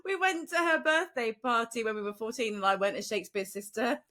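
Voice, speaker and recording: Lively, candid British woman podcaster. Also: a little high, fairly quick. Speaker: podcast co-host. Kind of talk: casual chat. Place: room in central London.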